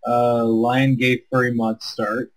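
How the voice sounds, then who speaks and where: A man's voice: medium pitch, level tone, slow, rough recording. A man bantering casually on a game stream in an exasperated, grumbling manner. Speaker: streamer reading a visual novel aloud.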